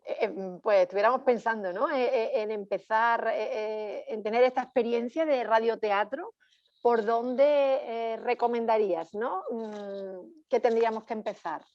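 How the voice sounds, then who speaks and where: A woman asking a spontaneous question in a hesitant, halting way, on a dull lo-fi recording. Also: a little high, dry recording. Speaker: audience member asking a question. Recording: dull lo-fi sound.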